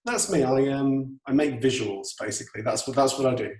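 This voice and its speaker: A man's voice: mid-range voice, fairly quick, darkish tone. English guy in an interview, roomy echo, bit far from mic, casual and hesitant. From Yorkshire, England. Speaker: podcast guest, photographer.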